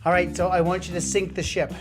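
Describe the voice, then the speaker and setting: A woman with a low voice guides a helper through a science demonstration. She coaches in an encouraging, playful tone. Clear music plays underneath the clip. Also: flat delivery, fast talker.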